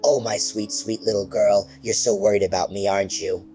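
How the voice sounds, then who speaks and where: A man's voice: lowish voice, fairly even intonation, moderate pace, mellow, soft background music, pitched below the performer's own voice, lightly acted. A man voicing a slimy, drooling monster that pretends to be a mother, speaking in a mocking, sinister croon.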